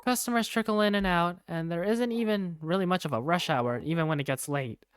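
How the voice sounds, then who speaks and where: A woman reading first-person narration and lead lines for a game fandub in an expressive, conversational way. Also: lowish voice, fairly quick, a little hiss or hum. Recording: faint music behind the voice.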